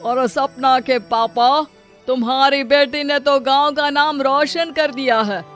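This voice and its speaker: A woman's voice: high-pitched, steady tone, slow, fairly bright tone, faint music behind. A woman voicing a plain-spoken village man in a moral story. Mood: encouraging. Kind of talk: Hindi moral-story acting.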